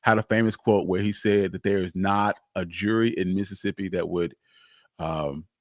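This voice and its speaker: A man's voice: low voice, steady tone, normal speed, dry recording. Man telling history in a dry-sounding space. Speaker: host of a history show. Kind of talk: live history storytelling to an audience online.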